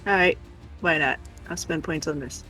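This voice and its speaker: A woman's voice: low voice, normal speed, pushed and bright. A woman chatting casually on a livestream in a playful, teasing way. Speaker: livestream guest. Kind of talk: casual chat. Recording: music playing behind the talk. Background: background music.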